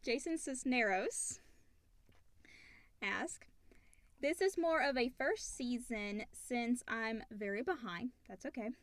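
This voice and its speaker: A woman's voice: a little high, slow pace, long pauses, dry recording. A woman co-hosting a podcast, chatting informally and reading out listener questions. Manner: informal, conversational. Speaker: podcast co-host.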